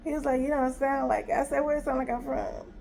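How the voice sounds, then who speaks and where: Chatty woman with a high, gravelly voice. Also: fast, slight background noise. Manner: animated retelling, acts out both sides with quoted dialogue; talkative, laughing, exasperated. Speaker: storyteller. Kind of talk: casual storytime vlog.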